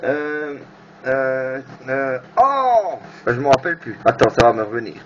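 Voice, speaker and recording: A man's voice: mid-range voice, sing-song, unhurried. A man hosting a casual amateur radio chat, informal and hesitant as he searches for words. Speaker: amateur radio operator and video host. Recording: noisy, muffled FM radio room recording.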